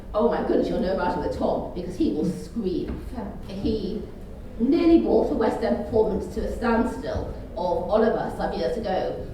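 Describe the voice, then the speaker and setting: A woman's voice: medium pitch, warm tone. A middle-aged woman giving an explanatory interview as an access and inclusion consultant. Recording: echoey, distant mic, faint noise.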